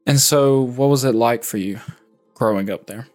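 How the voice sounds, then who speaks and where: A man hosting a podcast, reading measured, reflective narration and asking questions. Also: medium pitch, darkish tone. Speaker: podcast host. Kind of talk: scripted narration and interview questions. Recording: faint music bed behind.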